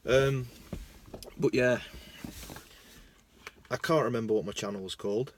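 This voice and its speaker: A young man with a Lancashire accent, rambling casually into his phone in a parked car, self-mocking, jumping between loud bursts and mumbled asides. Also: medium pitch, a little slow, slight background noise. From Lancashire, England. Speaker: vlogger.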